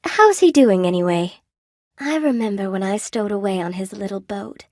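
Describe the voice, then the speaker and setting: Video game spider weaver woman, played by an actress: mid-pitched, coy, folksy and wry, friendly chatter, dry close studio sound. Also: moderate pace, darkish tone, dry recording, lightly acted. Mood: curious, friendly. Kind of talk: video game character dialogue. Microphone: close dry studio microphone.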